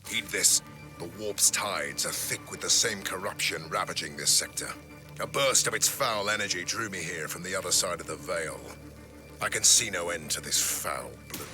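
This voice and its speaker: A man playing a stern, imperious grandmaster of a sci-fi knightly order in a video game, speaking in solemn, archaic phrases with a gravelly voice. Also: sits low, moderate pace, natural voice. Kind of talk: dramatic video game voice acting. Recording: loud music under the voice.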